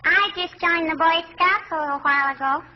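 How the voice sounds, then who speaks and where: A woman's voice: very high voice, a little fast. An actress playing a cheeky, chattering little neighbor girl who pesters in a childlike way in a 1940s radio comedy. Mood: eager, pestering. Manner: pestering, childlike, repeats catchphrases and questions. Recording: dull vintage radio recording, faint noise.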